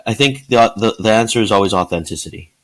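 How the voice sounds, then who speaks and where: A man in his forties who is the guest in an interview, answering in a thoughtful, halting way. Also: fairly low pitch. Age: 40s. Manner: thoughtful, halting, many fillers and restarts. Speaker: guest, sales leader.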